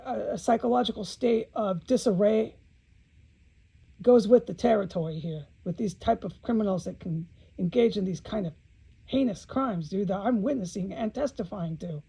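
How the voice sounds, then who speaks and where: A woman's voice: mid-range voice, moderate pace, gravelly, dark tone, breathy edge, poor audio. A woman speaking in an informal video monologue, blunt and agitated, swearing often and repeating phrases for emphasis, with an aggrieved manner.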